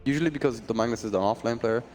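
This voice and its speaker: A man's voice: mid-range voice, racing, darkish tone. A man with an Irish accent commentating an esports draft in a chatty, joking way, sometimes tripping over his words. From Ireland. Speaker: esports caster.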